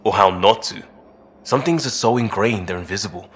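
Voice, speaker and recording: An actor playing a good-natured young crewmate in a space video game, talking informally and earnestly. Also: male voice, fairly low pitch, normal speed, husky. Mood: explaining.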